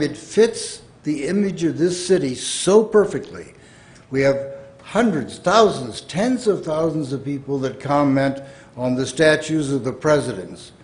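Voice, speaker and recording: A man's voice: on the high side, darkish tone. A man giving an enthusiastic, persuasive public comment to a city council.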